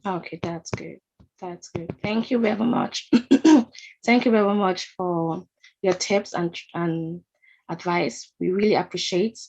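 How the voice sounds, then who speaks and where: A woman moderates a session over a video call and keeps her words short and polite. Her voice is a little low and sounds muffled, as if through a dull line. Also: steady tone, unhurried, a little mellow, breathy edge. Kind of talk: casual moderating.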